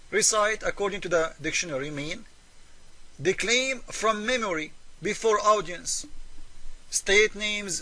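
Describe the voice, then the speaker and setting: Man, high-pitched voice, English, argumentative debater, emphatic, repeats himself, forceful delivery. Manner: emphatic, repetitive, with rhetorical questions; non-native English with irregular grammar. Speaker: religious debater and presenter. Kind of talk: argumentative polemical commentary.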